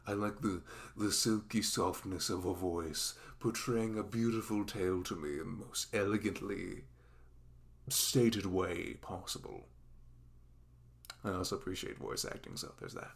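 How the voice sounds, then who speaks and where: A man playing a dorky, playful male best friend in an ASMR roleplay, with a velvety voice and a halting, rambling, teasing manner. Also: low voice, gravelly. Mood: playful, self-deprecating.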